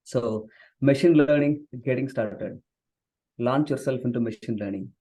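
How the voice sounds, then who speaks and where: This is a man from southern India, a native Tamil speaker, talking in an unhurried podcast interview with a mellow, warm tone. His pitch sits in a middling range. He talks earnestly and often pauses on fillers and restarts. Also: poor audio. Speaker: guest, software engineer and author. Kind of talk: conversational interview.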